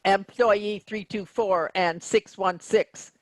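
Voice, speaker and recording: A stern robot captain of a starship, read by a woman in a sci-fi stage play. The delivery is formal, rigid and coldly officious. The pitch sits in the middle, and the sound is dry and close. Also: fairly even intonation, slow pace, dry recording. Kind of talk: virtual staged play reading.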